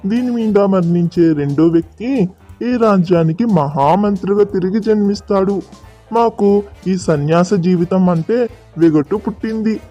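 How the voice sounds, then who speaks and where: A man voices a stubborn storybook sage or king, formal and declamatory. The voice sits high for a man yet is soft-edged and mellow. The sound is slightly muffled, over faint music. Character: shrewd, stubborn old guru and a gullible, demanding king. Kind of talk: dramatised children's folk tale.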